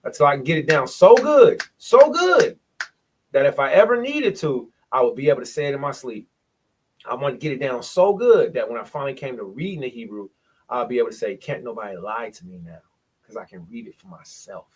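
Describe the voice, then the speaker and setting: A man's voice: medium pitch, lively intonation, brisk, husky. A man presenting in a bible study, explaining points from a shared screen and sounding out syllables, often repeating himself.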